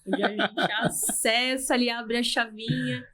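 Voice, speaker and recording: A woman's voice: on the high side, fairly even intonation, a little slow, pushed and bright. A woman in her thirties with a Brazilian Portuguese accent, a podcast host who asks questions and gives brief, encouraging replies. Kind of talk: interviewing.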